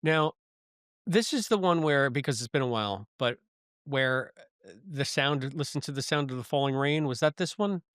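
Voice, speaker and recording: Male podcast co-host, mid-pitched, casual review talk, animated and repeats for emphasis, very dry recording. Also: moderate pace, clear, resonant tone, dry recording. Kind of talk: casual review discussion of a sci-fi audio drama.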